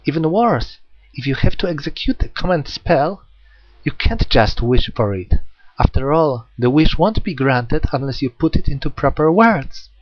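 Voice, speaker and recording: Man voicing an eerily gentle, high-pitched little assassin girl. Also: sing-song, normal speed, no echo, lo-fi sound. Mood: calmly menacing. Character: eerily gentle young girl, a serial killer assassin spirit.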